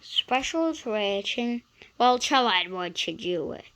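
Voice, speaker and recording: A woman's voice: mid-range voice, expressive, takes it slowly, fairly bright tone, slight background noise, rough recording. A woman voicing a bossy male railway controller in a children's storybook, curt and dismissive.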